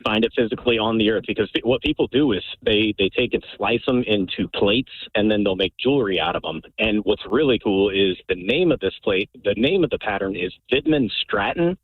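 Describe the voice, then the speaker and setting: A man's voice: lowish voice, expressive, a little fast, few pauses, slightly rough, dry recording. A man calling in to a radio show, earnestly explaining something at length over a phone line. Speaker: caller. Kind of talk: call-in radio chat.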